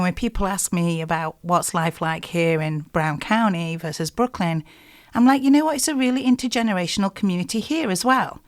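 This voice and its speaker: A middle-aged woman with a Yorkshire accent, a singer-songwriter chatting in a radio interview with lots of "you know" and "like". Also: on the low side. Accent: Yorkshire English. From South Yorkshire, England.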